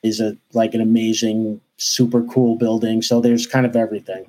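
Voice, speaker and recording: A man's voice: fairly low pitch, flat delivery, moderate pace, a little mellow, rough recording. A man in his thirties with a light New York accent, chatting as a podcast guest and talking with many fillers and restarts. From New York area. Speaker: podcast guest, marketing professional. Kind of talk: casual interview chat.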